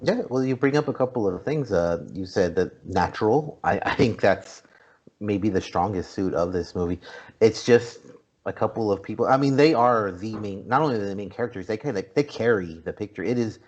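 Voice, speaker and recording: A man's voice: on the low side, lively intonation, normal speed, a little mellow. A man hosting a podcast, talking casually as he leads a film review discussion. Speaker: podcast host. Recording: dull, lo-fi podcast audio.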